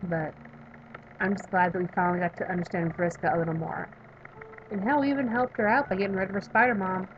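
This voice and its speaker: A woman in her thirties reading a visual novel aloud and chatting about it, emphatic while reading and quiet when talking about herself. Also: medium pitch, fairly even intonation, quick pace, highs cut off, slight background noise. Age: 30s. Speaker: let's play streamer reading a visual novel. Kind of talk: reading game text aloud and commenting.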